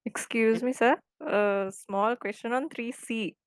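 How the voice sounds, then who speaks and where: A woman's voice: a little high, steady tone, takes it slowly, clear, resonant tone, no echo. A woman student politely and hesitantly asking her lecturer a question.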